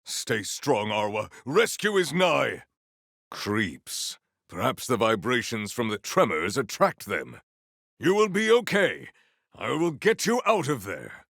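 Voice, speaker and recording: Gravelly, grandiose warrior. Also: male voice, mid-range voice, sing-song, slow, dry recording, clean studio sound, exaggerated voice. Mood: grave, formal. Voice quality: gravelly, forceful. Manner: theatrical, declamatory, formal. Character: proud, battle-hungry warrior with grandiose, formal speech. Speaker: video game voice actor. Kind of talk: video game combat barks.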